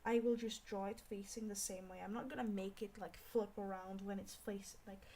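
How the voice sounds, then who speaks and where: A young woman with a Scottish accent chatting casually on an art livestream, rambling and scolding herself out loud in exasperation. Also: medium pitch, steady tone, normal speed, slight background noise. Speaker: art streamer. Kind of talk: casual livestream chat while drawing.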